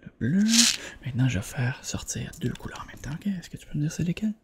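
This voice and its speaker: A person whispering softly in an ASMR eye exam roleplay, gently checking in with short questions. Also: mid-range voice, lively intonation, fast talker. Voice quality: whispered, soft-spoken. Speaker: ASMR creator playing an eye examiner.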